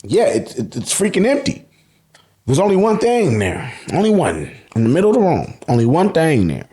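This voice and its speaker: An American man giving an excited, slangy fan recap, emphatic and repeating phrases for effect, with casual swearing. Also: a little high, moderate pace. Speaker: host of an anime and manga review channel.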